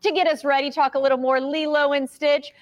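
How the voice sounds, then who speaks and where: A woman's voice: sits high, level tone, brisk. A woman hosting a morning TV show, upbeat and bubbly, tossing in casual asides as she introduces and questions a guest in the studio. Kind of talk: upbeat TV interview.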